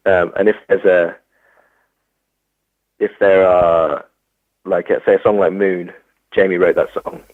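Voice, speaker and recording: Low hesitant English man, tinny sound. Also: level tone, measured pace, pauses often, no room sound. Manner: hesitant, many fillers and restarts. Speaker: musician guest. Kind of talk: casual interview.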